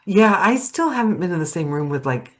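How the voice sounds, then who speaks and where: A woman hosting a casual interview over a video call, playful and quick to laugh. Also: on the low side, very animated. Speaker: podcast host.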